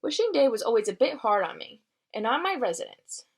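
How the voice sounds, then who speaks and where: A woman's voice: fairly high pitch, big pitch swings, fairly quick, slightly rough. A woman reads a children's book aloud expressively and explains it simply in a teacherly manner. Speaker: read-aloud reader. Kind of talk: expressive storybook read-aloud with explanatory asides.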